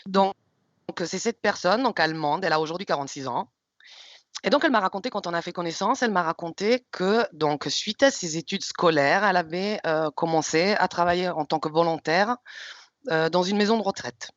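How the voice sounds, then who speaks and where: A woman from Luxembourg, around her thirties, speaking in an expressive, candid and emphatic way as a manager on a career panel. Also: lowish voice, a little fast, no echo. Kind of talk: informal panel presentation and storytelling. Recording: slightly dull, lo-fi audio.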